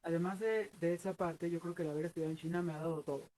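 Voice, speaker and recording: A man's voice: on the high side, fairly even intonation, fast talker, a little mellow, poor audio. A Mexican man in his thirties answering interview questions earnestly, rambling with many fillers and restarts. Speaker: guest.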